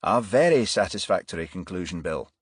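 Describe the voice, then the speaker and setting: Man playing a calm, affable detective inspector. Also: deep voice, brisk, husky, no echo, clean studio sound. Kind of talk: audiobook character voice.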